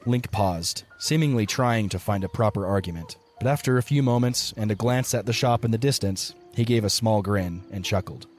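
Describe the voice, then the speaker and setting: A man's voice: lowish voice, moderate pace, hardly any pauses, a little mellow, soft background music. A man reading scripted third-person story narration as the narrator of an audio drama.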